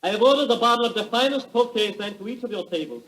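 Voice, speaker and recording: High-voiced, grandly declaiming maestro. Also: male voice, fast, a bit bright. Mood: solemn, exultant. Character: proud, emotional European composer-conductor addressing his audience. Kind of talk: 1940s radio acting. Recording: roomy vintage radio drama recording.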